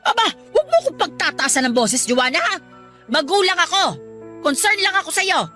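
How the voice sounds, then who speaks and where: A woman's voice: very high-pitched, sing-song, a little fast, bright, forceful tone, faint music behind, exaggerated voice. A woman playing an older grandmother and mother of the heroine, nagging and scolding in a radio drama. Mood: indignant.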